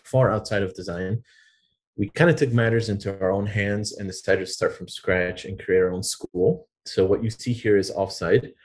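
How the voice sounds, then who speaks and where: Deep-ish male educator, formal and earnest, fillers between phrases. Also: darkish tone. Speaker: design educator and program director. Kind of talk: webinar presentation.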